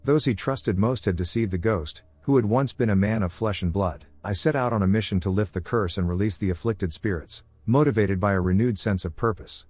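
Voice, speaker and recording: Man, horror story narrator, low voice, dry close sound, steady measured delivery. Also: husky, no echo. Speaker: voice actor narrating horror stories.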